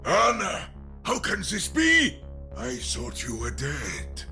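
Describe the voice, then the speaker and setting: An actor playing a big, chivalrous old soldier in a video game, with a gallant, dramatic manner. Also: male voice, fairly high pitch, huge pitch swings, slow pace, pushed and bright, faint music behind, heavily acted. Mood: shocked, moved. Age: older.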